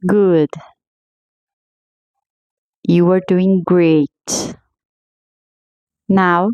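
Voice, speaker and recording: A woman guiding a meditation in a slow, soothing, gently paced scripted voice. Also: lowish voice, no echo. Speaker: meditation guide.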